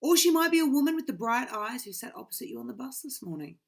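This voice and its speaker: A woman reads a children's book aloud, swinging from bold declarations to hushed, drawn-out lines. Her voice is slightly husky with a gravelly edge, and her pitch leaps about. She sounds close to a webcam in a small bedroom. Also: big pitch swings. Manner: dramatic, swinging from loud to hushed. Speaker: reader.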